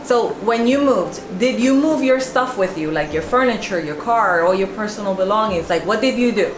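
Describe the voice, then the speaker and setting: A woman's voice: mid-range voice, expressive, moderate pace, background noise. A woman from New York and New Jersey in the United States, hosting a casual sit-down interview. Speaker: video host and interviewer. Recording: echoey room with music behind.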